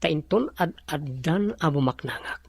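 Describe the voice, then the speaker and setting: A man's voice: on the high side, lively intonation, unhurried, gravelly, husky, no echo, exaggerated voice. A man with an Ilocano accent playing a scheming, money-hungry boss in a radio drama. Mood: smug, calculating.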